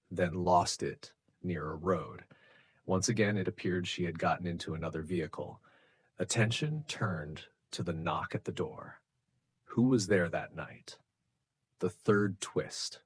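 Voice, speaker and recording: Deep husky man narrating true crime. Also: no room sound. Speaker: narrator of true crime stories for English learners. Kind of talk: scripted suspense narration.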